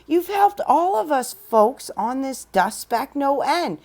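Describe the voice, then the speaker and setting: A woman's voice: high voice, very animated, slow pace, warm tone, pitched above the performer's own voice, full character voice. A woman voicing a tiny, cheerful male mayor who speaks in a piping, grateful tone.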